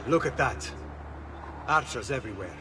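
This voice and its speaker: Man acting a lively, streetwise thief leader. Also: medium pitch, normal speed, noisy background, lightly acted. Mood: planning. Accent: Italian. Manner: brotherly, wry. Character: loyal, wry thief leader, streetwise and cautious. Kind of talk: dramatic video game voice acting. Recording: music behind the voice.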